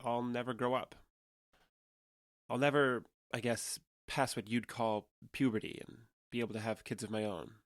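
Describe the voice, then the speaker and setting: A man's voice: mid-range voice, fairly even intonation, moderate pace, dry recording, clean studio sound, natural voice. An American man playing a guarded, sincere alien who passes for a young adult, explaining himself hesitantly in a naturalistic audio drama. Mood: earnest explaining.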